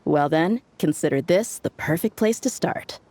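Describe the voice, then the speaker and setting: A woman's voice: low voice, lively intonation, normal speed, darkish tone, no room sound. A woman playing a confident, easygoing mercenary in a video game, casual and cocky in her talk. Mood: breezy. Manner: casual, cocky, plain-spoken. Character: confident, easygoing female mercenary, breezy and brash. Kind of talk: video game character dialogue.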